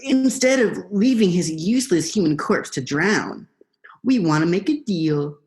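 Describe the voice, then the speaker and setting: A woman's voice: lowish voice, animated, unhurried, darkish tone. A woman playing a snarky, theatrical eel schemer in a radio play, with a sardonic, dramatic manner. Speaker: actor.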